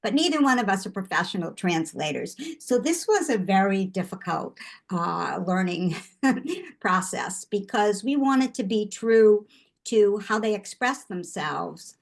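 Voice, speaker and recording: A woman's voice: medium pitch, expressive, a little slow, creaky. An older woman, a guest artist, giving thoughtful interview answers with frequent restarts.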